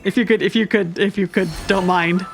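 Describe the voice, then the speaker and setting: A woman's voice: medium pitch, fairly even intonation, brisk, gravelly, music in the background. A young woman giving casual, slangy, irreverent commentary as she plays a game, in a giggly manner. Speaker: gaming streamer.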